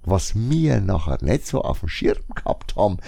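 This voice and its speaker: A man's voice: fairly low pitch, big pitch swings, normal speed, gravelly, warm tone, husky, no echo, clean studio sound. A man with a Franconian German accent chatting casually to his listeners in a rambling blog monologue, full of wry asides. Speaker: shop blogger.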